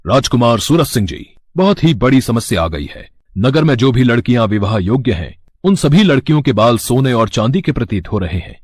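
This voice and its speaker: A man's voice: mid-range voice, darkish tone, slightly rough, no room sound. A man voicing a dutiful army commander in a fairy tale, formal and deferential. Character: dutiful royal army commander reporting to prince.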